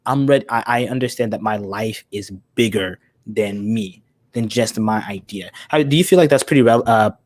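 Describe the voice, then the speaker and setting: A man's voice: on the low side, normal speed, no echo. A man hosting an interview, asking long, rambling questions full of "you know" in casual chat. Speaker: podcast host.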